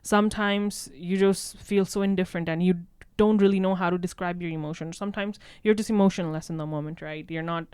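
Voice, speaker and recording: A woman's voice: fairly low pitch, steady tone, fairly quick, few pauses, darkish tone, clean tone, no echo, clean studio sound. A woman social worker talking casually on a podcast, often tagging her points with "right?". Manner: conversational, leans on "right?" tags.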